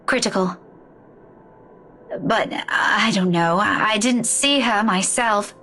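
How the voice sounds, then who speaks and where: Slow, weary, distraught woman. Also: mid-range voice, sharp tone, full character voice. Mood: grave, weary. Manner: halting, sighing, faltering speech. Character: weary, distraught woman keeping hospital vigil over loved one. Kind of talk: dramatic game dialogue.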